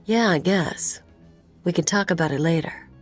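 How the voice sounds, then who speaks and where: A woman plays a tough gun-for-hire in a video game. Her voice sits low, and she talks in a blunt, nonchalant, dismissive way over background music. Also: normal speed, natural voice. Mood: casual. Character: tough, sardonic female mercenary, cool and dismissive.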